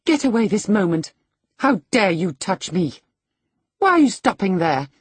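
Haughty young lady, acted by a woman narrator, imperious, disdainful, higher raised pitch, husky edge, classic audiobook. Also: sing-song, slow pace, warm tone, no echo, exaggerated voice. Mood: disgusted, indignant. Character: scornful, haughty young lady, cold and indignant. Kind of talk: classic novel character dialogue in audiobook reading.

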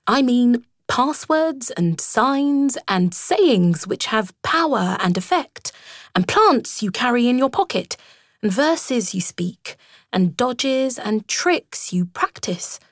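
A bold, kindly water rat from a storybook, voiced by a woman, her higher voice swinging up and down with cheerful reassurance. She takes her words slowly, and the sound is close and dry with no room around it. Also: no room sound. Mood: soothing, lecturing. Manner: lively and reassuring, animated swoops in pitch.

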